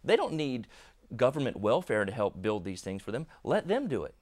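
A man in his thirties, an economist, explaining in an interview in a quick, emphatic way. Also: mid-range voice, sing-song, darkish tone. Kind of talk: interview answers. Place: indoor studio set.

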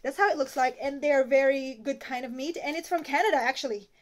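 A woman's voice: sits high, brisk. A woman in her thirties from the Philippines, chatting casually to a phone camera as she unpacks groceries in a small apartment kitchen. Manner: chatty, holds items up to the camera. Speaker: vlogger. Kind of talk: casual chat. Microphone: phone camera at arm's length. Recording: noisy phone recording.

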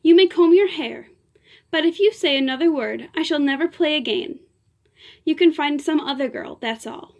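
A woman reading aloud voices a temperamental young actress who bursts into tearful, angry outbursts. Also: high-pitched. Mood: commanding. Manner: tearful, pleading, quick to outbursts.

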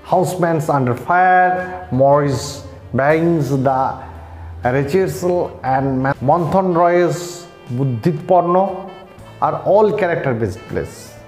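A man in his forties with an Indian Bengali accent delivers a scripted literature lecture in a formal, deliberate, halting way. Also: a little high, darkish tone, faint music behind. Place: small bare-walled indoor room. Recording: echoey room.

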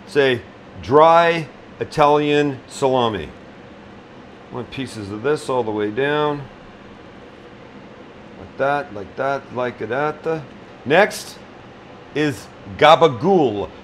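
A man's voice: medium pitch, very slow, pauses often, slight background noise. A middle-aged man hosting a casual cooking demonstration in a small restaurant kitchen, joking bluntly and swearing freely. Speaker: chef and cooking show host.

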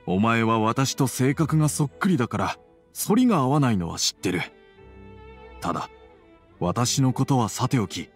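Earnest middle-aged father with lively intonation. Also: mid-range voice, breathy edge. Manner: measured, formal, earnest. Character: stern, concerned middle-aged father, softening. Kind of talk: Japanese video game voice acting.

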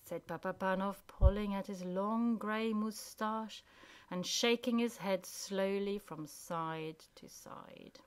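A woman narrating a children's story as scripted reading, with deliberate pauses between phrases. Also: mid-range voice, clean tone.

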